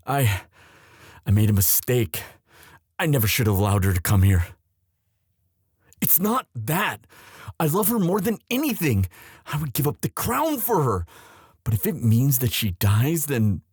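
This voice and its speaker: A man playing a young prince, a tender and anxious lover, in an intimate, halting romantic audio roleplay. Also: lowish voice, darkish tone, breathy edge, no echo, clean studio sound, heavily acted. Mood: anguished, remorseful.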